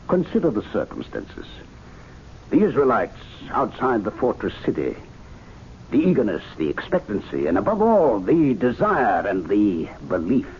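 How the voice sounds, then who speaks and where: An assured, didactic club gentleman holds forth in a radio mystery play. His delivery is oratorical and highly animated. The sound is a dull vintage recording with faint noise. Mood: fervent, persuasive. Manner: oratorical, deliberate rhetorical phrasing. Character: authoritative male club member, assured, didactic, persuasive theorist. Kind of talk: radio mystery drama acting.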